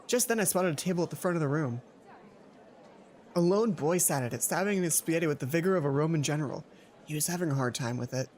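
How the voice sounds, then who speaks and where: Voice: mid-range voice, fast talker, darkish tone, slight background noise. A let's play host reading a visual novel aloud with casual commentary, stumbling over words and interrupting the narration.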